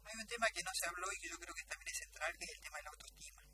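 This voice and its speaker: A woman's voice: a little high, wildly swooping pitch, racing, noisy background. An Argentine woman speaking earnestly as a guest in a radio discussion, emphatic and often adding short rhetorical check-in questions. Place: small radio studio. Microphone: studio desk microphone.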